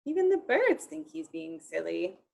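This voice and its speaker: A woman reading a picture book aloud to young children in an expressive, encouraging way, talking directly to them. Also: on the low side, moderate pace, gravelly, lo-fi sound.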